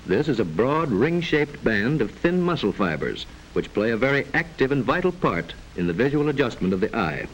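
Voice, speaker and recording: A man narrating a 1940s educational film in a formal, measured, didactic manner, reading from a script. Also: medium pitch, very animated. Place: voice-over narration recording. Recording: noisy vintage 1940s film soundtrack.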